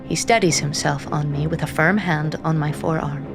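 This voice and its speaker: An actress playing a grim, haunted seer who reads the dead, narrating in the first person with hushed, deliberate intensity. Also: female voice, sits low, gravelly, over music. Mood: tense, controlled. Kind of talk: first-person dramatic narration in audio drama.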